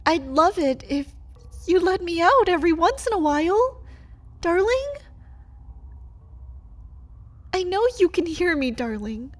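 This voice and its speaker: A high-pitched vampire woman, voiced by an actress, locked away and aching for her lover. She speaks slowly and dramatically, with a bitter, pleading desperation. The delivery is that of an intimate ASMR roleplay monologue. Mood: apologetic, pleading. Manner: dramatic, swinging between breathy whispers and pleading outbursts.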